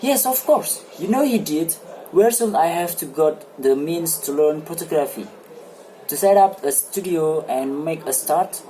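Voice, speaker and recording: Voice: medium pitch, lively intonation, measured pace, dark tone, slight background noise, close to an everyday voice, low-quality recording. An amateur reader playing a talkative, self-satisfied married man in a stage play, with eager, formal phrasing. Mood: eager, assuring. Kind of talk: amateur dramatic play reading.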